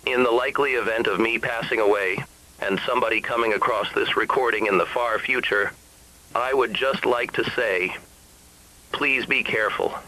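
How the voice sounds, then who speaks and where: A man acting a weary, despairing husband and father cut off by a sleepless epidemic, in a halting confessional monologue for an analog horror drama. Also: mid-range voice, bright, forceful tone, close to an everyday voice, rough recording. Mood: resigned.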